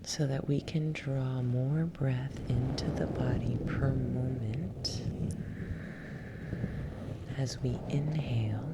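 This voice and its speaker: A woman with a very deep, creaky voice leads a breathing meditation in soft ASMR style. She often drops into a breathy whisper and gives each instruction deliberately. Loud ambient noise runs behind her. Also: fairly even intonation. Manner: slow, deliberate, gently instructional. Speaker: yoga nidra meditation guide. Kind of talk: ASMR guided relaxation and breathing practice.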